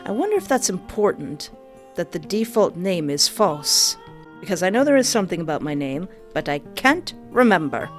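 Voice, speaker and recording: A woman's voice: lowish voice, very animated, normal speed, soft background music. A young woman reading a visual novel aloud and breaking in with playful, joking asides. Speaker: game streamer.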